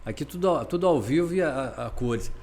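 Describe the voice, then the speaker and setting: A man hosting a podcast interview, asking questions in a halting way with restarts. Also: medium pitch, expressive, darkish tone, a little hiss or hum. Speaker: podcast host.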